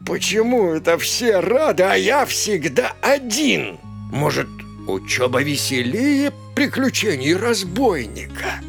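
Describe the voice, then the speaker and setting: A grumbling, easily exasperated forest villain from a children's tale. The delivery is melodramatic and whiny, creaky and wildly varied in pitch. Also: male voice, sing-song, takes it slowly, a bit bright, faint music behind, full character voice. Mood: forlorn. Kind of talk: children's storybook character acting.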